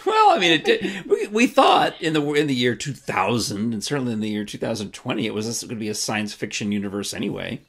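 A man in his fifties hosts a relaxed conversation from a room at home. His pitch swings widely as he jokes and breaks into laughter. He talks in quick, playful bursts. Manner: animated, joking, laughs a lot. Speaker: host. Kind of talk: casual chat. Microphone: desk camera framing.

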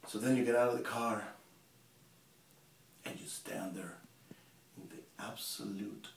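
A man acting a gravelly, menacing serial killer. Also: fairly low pitch, fairly even intonation, a little slow. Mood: eerie, entranced. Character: cold, menacing male serial killer confessing in an interview. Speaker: amateur actor performing a monologue. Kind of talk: theatrical dramatic monologue. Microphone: camera mic at some distance. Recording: roomy, distant camera mic, hissy.

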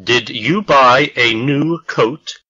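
A man reads English drill sentences very slowly, pausing between each phrase. His voice is bright and sharp, and the recording sounds a little dull and lo-fi. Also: medium pitch. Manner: slow, carefully spaced model sentences. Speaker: English lesson voice. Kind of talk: slow scripted language drill.

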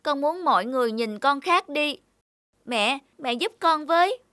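A woman's voice: sits high, expressive, a little slow, heavy background noise. A woman voicing an earnest, aggrieved young talking apple in a children's cartoon, with plaintive, emphatic delivery. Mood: pleading. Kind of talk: dubbed children's cartoon dialogue. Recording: loud music behind. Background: loud music.

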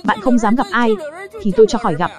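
A woman acting a brisk, bossy cartoon farmer. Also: a little high, sing-song, music in the background. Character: brisk, bossy female farmer handling talking crops. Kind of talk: animated cartoon dialogue dub.

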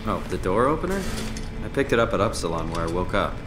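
A man's voice: low voice, big pitch swings, quick pace. Game survivor: despairing, sardonic man in a robotic diving suit, roomy sound, machinery noise behind. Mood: reluctant sarcasm. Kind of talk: video game voice acting.

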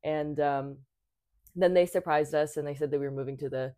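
Low-voiced young woman, chatty guest. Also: steady tone, fairly quick, mellow, no echo, clean studio sound. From Thailand. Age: 20s. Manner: chatty, many fillers and restarts. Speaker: podcast guest. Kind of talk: casual conversation.

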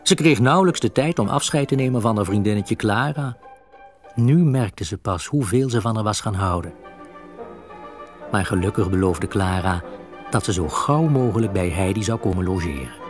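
Low-voiced male children's storyteller. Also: expressive, normal speed. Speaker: narrator. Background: soft music.

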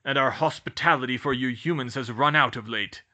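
A man's voice: medium pitch, moderate pace, bright, forceful tone. A man voicing an angry, haughty centaur for a story, with a nasty, menacing tone and stern, formal speech. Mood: hostile, menacing. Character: angry, haughty male centaur, hostile to humans. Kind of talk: audiobook character voice.

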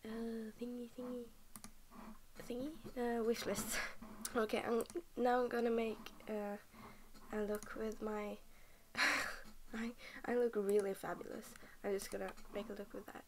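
A woman's voice: a little high, little pitch movement, unhurried, a little mellow, a little hiss or hum. A young woman from Norway, just woken up and tired, rambling through casual game commentary at her computer, often half-whispering and stopping mid-sentence. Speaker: game vlogger.

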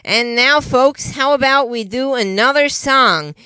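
A man's voice: very high voice, measured pace, bright, forceful tone, smooth, dry recording, full character voice, rough recording. A man voicing a cheerful, polite male puppet talk show host for children, chatty and folksy as he presents like an eager showman. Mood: chatty, friendly.

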